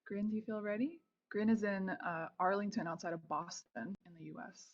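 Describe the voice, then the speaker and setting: A woman's voice: mid-range voice, a little fast. A woman casually hosting a group video call with encouraging remarks. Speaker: host. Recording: slightly dull video-call audio.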